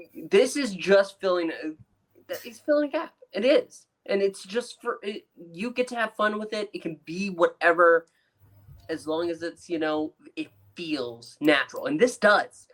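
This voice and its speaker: Co-host with a lively, swooping delivery. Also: medium pitch, a little slow. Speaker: podcast co-host. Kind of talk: casual review chat.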